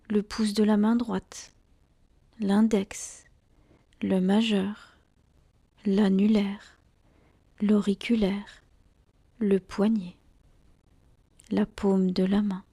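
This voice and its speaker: Female meditation guide: soft, breathy near-whisper, dark and relaxed tone, soothing short phrases with long pauses. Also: mid-range voice. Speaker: yoga nidra guide.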